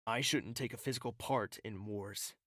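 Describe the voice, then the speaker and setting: A man's voice: lowish voice, moderate pace, fairly bright tone, no echo, clean studio sound. A man playing a troubled, self-doubting young royal in an audio drama, with an earnest, hesitant, introspective manner. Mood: defensive, confused.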